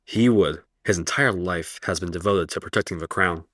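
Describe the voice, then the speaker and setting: A man playing a kindly, formal older professor in a radio drama, measured and reassuring. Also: low-pitched, a bit bright, dry recording, clean studio sound. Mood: calm.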